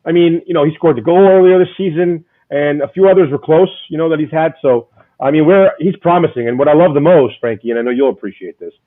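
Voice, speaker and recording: A man hosting a casual fan chat, loud and rambling, often repeating his words. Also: fairly high pitch, quick pace, phone-quality sound. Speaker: podcast host.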